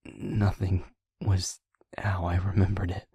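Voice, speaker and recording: A man playing an anxious, tender, protective young vampire boyfriend in an intimate audio roleplay, speaking haltingly and often in a whisper. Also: low-pitched, little pitch movement, mellow, husky, dry recording, exaggerated voice. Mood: soft, troubled.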